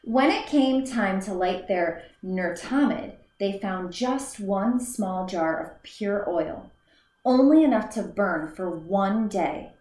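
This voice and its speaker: A woman's voice: mid-range voice, lively intonation, slow, a little mellow. A woman teacher reading a children's story aloud in a careful read-aloud manner, with the odd slip and restart. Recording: echoey room.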